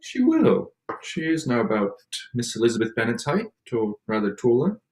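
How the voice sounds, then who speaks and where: A man playing a proud, reserved young gentleman in a period drama reading, formal, measured and aloof. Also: medium pitch, sing-song, close to an everyday voice. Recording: echoey room.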